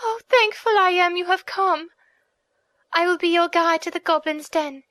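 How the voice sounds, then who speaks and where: A woman's voice: very high-pitched, normal speed, fairly bright tone, dry recording, studio quality, pitched above the performer's own voice. A woman voicing a distressed, hopeful young princess in an audiobook, with a breathless, pleading manner. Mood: joyful, urgent. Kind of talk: audiobook character reading.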